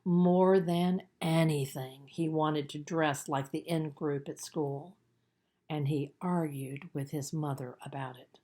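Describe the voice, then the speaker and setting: A woman narrating a children's book as its author, in an expressive storytelling manner with deliberate emphasis. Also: low voice. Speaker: author reading own book.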